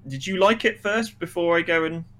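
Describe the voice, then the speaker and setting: A man with a fairly high voice and a sharp, bright tone talks as a podcast co-host. He gives opinions on films in a casual, emphatic way, repeating phrases to stress them. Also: fairly quick. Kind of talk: casual, opinionated film review chat.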